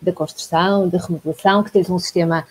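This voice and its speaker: A woman hosting a casual interview, friendly and encouraging, often saying warm words of agreement. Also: on the low side, fairly even intonation, quick pace, husky. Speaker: host.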